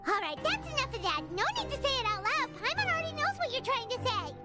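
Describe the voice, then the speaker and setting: A tiny, excitable fairy sidekick from a video game. The voice is extremely high and childlike, swooping up and down with every exclamation. Loud music plays behind it. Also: female voice, fast talker. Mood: disgusted, wary. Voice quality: squeaky, childlike. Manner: exclamatory, refers to self in third person. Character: tiny childlike floating fairy companion, excitable, cowardly, chatty. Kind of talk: video game voice acting, cartoonish.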